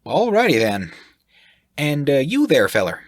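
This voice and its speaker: A man doing a high, bumbling folksy sailor. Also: huge pitch swings, unhurried, no room sound, clean studio sound, full character voice. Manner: drawling, folksy, hesitant with fillers. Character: clueless folksy male sailor, awkward and patronizing.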